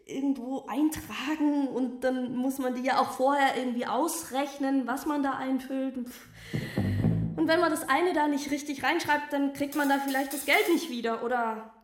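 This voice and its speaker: A woman's voice: high-pitched, sing-song, unhurried, roomy sound, faint music behind, full character voice. An actress playing an apologetic midwife in her forties, rambling and hesitant as she justifies herself in a radio drama. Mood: flustered, apologetic. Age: 40s. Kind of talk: naturalistic radio drama testimony.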